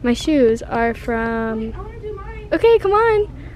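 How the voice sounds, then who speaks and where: Bubbly high-voiced young woman vlogging. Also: very animated, slow pace, darkish tone, slight background noise. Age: young adult. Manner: bubbly, giggly, rambling. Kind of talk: casual chat. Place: inside a parked car, then a big store. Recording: music playing in the car.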